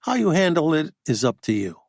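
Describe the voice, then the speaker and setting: A man voicing a calm, soft-spoken male movie star in an audiobook. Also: fairly high pitch, a little fast, no room sound, studio quality.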